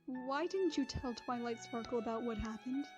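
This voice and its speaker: A woman voicing a wise, gentle royal mare who speaks in a calm, motherly way. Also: sits high, normal speed, lightly acted. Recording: dull lo-fi audio with music.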